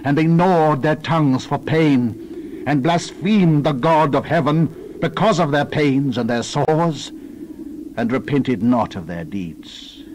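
A man giving a solemn, theatrical dramatized reading of scripture as a narrator, with deliberate emphasis. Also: a little high, darkish tone, a little hiss or hum, rough recording. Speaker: Bible narrator.

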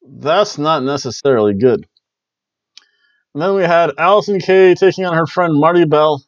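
A man's voice: on the high side, normal speed, no room sound. A man in his forties casually recapping wrestling shows from a small home room, jokey and loose with playful exclamations, on a headset mic. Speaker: wrestling review host. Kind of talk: casual review chat. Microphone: headset mic on a webcam call. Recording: dry, dull lo-fi webcam audio.

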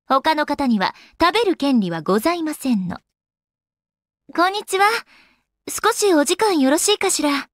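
An actress voicing an elegant, teasing young goddess in a Japanese video game, with refined, polite and slightly haughty manners. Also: female voice, sits high, very animated, moderate pace, pauses often, a bit bright, dry recording, clean studio sound. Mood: polite, composed. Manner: polite, haughty ojou-sama refinement with playful coyness.

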